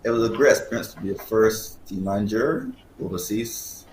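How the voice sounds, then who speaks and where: A man's voice: lowish voice, lively intonation, normal speed. A man who is an athlete and team manager, giving short interview answers. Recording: echoey room, faint noise.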